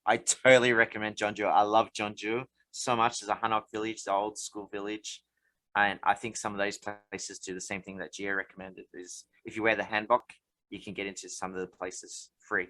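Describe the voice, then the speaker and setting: A man's voice: on the low side, little pitch movement, a little fast, pushed and bright, poor audio. A man co-hosting a casual podcast, chatty and loose, steering the talk with questions. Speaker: podcast co-host. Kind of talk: casual chat.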